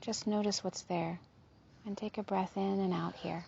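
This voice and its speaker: A woman leading a guided body scan meditation in a gentle, soothing, unhurried manner, with a soft, breathy, slightly husky voice. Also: medium pitch, steady tone, dull audio, a little hiss or hum.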